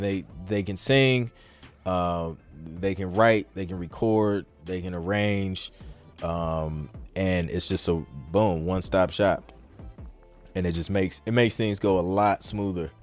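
Low-voiced man, casual and close. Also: no room sound. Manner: informal, slangy, thinking aloud. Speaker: podcast host and music producer answering questions. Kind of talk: casual live Q&A talk.

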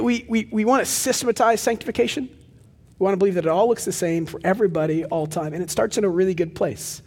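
Young male pastor, Texan accent, loud projecting delivery, high voice. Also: in a large hall. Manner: animated storytelling with asides. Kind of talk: sermon. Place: large church hall with live audience.

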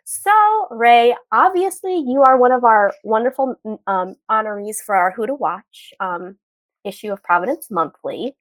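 A woman's voice: on the high side, animated, a little slow, no echo, low-quality recording. A woman co-hosting a podcast and interviewing a guest, chatty and upbeat, recording from home over a video call. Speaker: podcast co-host.

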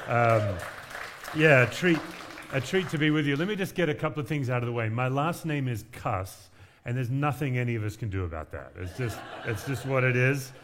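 Aussie pastor guy giving a sermon, relaxed and chatty, bit of self-mocking humor. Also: mid-range voice, a little fast, crowd noise, background noise. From Western Australia. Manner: conversational, self-deprecating humor, frequent fillers. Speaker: guest pastor.

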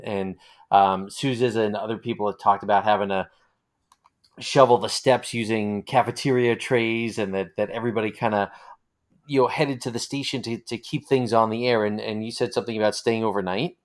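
A man hosting a casual interview, speaking in halting phrases with repeated words. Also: fairly low pitch, fairly even intonation. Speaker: interviewer, host.

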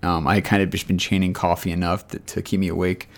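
Man, deep and scratchy-voiced, casual rambling co-host type. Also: flat delivery, fast talker. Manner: rambling, chatty storytelling. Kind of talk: casual chat.

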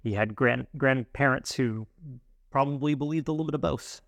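Male American podcast co-host, casual and chatty, creaky voice, very dry recording. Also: mid-range voice, fairly quick, fairly bright tone, no echo. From United States. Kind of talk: casual conversational podcast talk.

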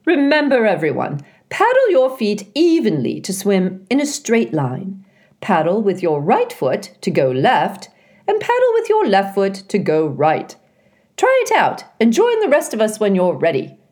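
A woman voicing a chatty, bossy old female goose who talks in a bright sing-song way. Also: medium pitch, huge pitch swings, measured pace, voice pushed up. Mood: cheerful, bossy.

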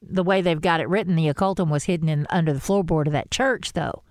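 A woman's voice: fairly low pitch, quick pace, no room sound, clean studio sound. A woman co-hosting a podcast, chatting casually and animatedly about a TV episode. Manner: chatty, animated storytelling with rising exclamations. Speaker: podcast co-host. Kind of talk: casual fan discussion chat.

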